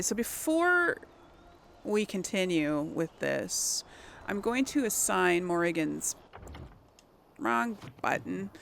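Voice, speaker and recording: A woman's voice: on the low side, creaky, a bit bright, a little hiss or hum. A woman giving casual video game commentary, thinking aloud and exclaiming as she plays. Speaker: video game let's play host.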